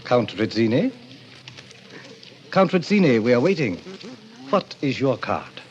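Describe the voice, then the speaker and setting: Suave, sinister club president, swooping delivery. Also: male voice, mid-range voice, sing-song, unhurried. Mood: coolly insistent. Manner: suave, formal, ceremonious, hypnotic. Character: polished, sinister gentleman president of a deadly card club. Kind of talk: 1940s radio acting. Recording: dull, noisy old radio recording.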